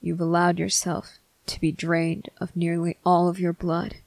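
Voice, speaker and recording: A performer voicing a stern but tender male vampire captain in an intimate ASMR roleplay, speaking deliberately in clipped phrases with pointed pauses. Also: medium pitch, monotone, vocal fry, dry recording. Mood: stern, reassuring. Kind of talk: ASMR roleplay addressed to the listener.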